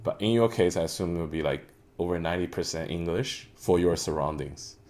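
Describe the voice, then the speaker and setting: A man's voice: deep voice, a little fast, darkish tone. A young man with a Mandarin Chinese accent, co-hosting a casual podcast chat, earnest and hunting for the right English words. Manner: earnest, searching for words, many fillers. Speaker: podcast co-host.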